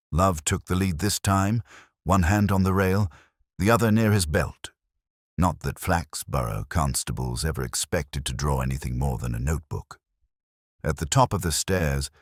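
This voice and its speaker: A man's voice: low-pitched, dry recording, clean studio sound. A man reading a scripted mystery story as a narrator. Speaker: audiobook narrator.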